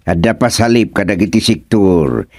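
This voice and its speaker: A man's voice: lowish voice, lively intonation, normal speed, a little mellow, no room sound, studio quality. A man playing an easygoing village husband in a radio comedy drama, speaking with an Ilocano accent. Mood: explaining.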